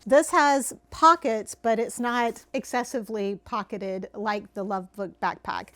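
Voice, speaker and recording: A middle-aged woman giving a chatty product review to camera, slightly hoarse from losing her voice, often repeating words for emphasis. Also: fairly high pitch, no room sound. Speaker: travel gear reviewer.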